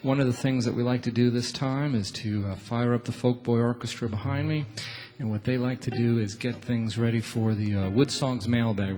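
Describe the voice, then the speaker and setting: A man's voice: medium pitch, a little mellow. A man hosting a live music radio show from a theatre stage, talking to guests and audience.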